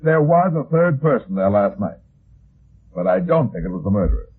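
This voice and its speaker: Hard-boiled radio private eye, curt, unflappable, husky, tinny phone-like old recording. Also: male voice, on the low side, big pitch swings, normal speed, full character voice. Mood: firm, insistent. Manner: clipped, hard-boiled, slangy. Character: tough, wisecracking male private detective, curt and unflappable. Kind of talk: old-time radio detective drama acting.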